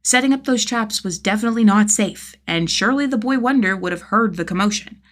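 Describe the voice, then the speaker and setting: A woman's voice: mid-range voice, fairly quick. A woman narrating a piece of fiction as an audiobook, reading from a script with expression.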